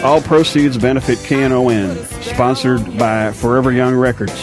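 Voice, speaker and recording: A man reads a scripted radio promo in a mid-range voice. Blues guitar music plays clearly behind him. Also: medium pitch, moderate pace. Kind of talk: scripted ad read.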